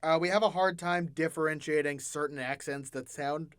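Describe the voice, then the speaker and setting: A man's voice: a little high, moderate pace, clear, resonant tone, no echo. A young man hosting a casual chat, talking with many restarts and laughing often. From United States. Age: young adult. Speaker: podcast host.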